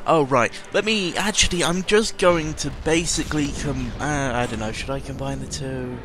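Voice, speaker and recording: A man's voice: medium pitch, moderate pace, noisy background. A man giving a casual, rambling audio-log monologue as host, sardonic and cynical, often interrupting himself and swearing freely.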